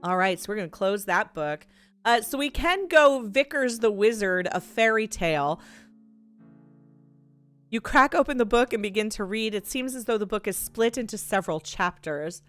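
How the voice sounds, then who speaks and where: Casting: female let's play streamer, smooth resonant voice, expressive narration and exclamations, faint music underneath. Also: mid-range voice, normal speed. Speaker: game streamer. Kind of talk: live let's play, reading aloud and reacting.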